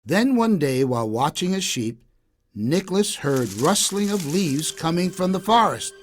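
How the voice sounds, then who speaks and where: A man narrating a scripted children's story for English learners in a deliberate, expressive way. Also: a little high.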